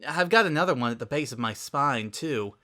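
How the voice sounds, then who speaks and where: A man's voice: medium pitch, expressive, fairly quick, sharp tone, pitched above the performer's own voice. A man voicing a guarded but good-natured teenage girl who talks casually, in a dramatic reading. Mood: dismissive.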